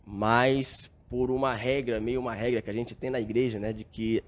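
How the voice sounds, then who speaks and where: A young Brazilian man recounts his past in an earnest, reflective tone. He speaks at an ordinary pitch and often drops small filler tags as he goes. It sounds like a relaxed podcast interview. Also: moderate pace. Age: young adult. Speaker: podcast guest. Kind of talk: casual storytelling interview.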